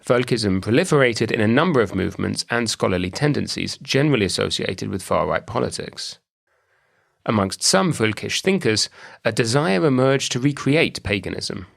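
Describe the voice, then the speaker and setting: A man with a British accent hosting an academic podcast, reading a measured scripted introduction and asking questions. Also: fairly low pitch, animated, vocal fry, fairly bright tone. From British Isles. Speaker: podcast host. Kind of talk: scripted intro then interview.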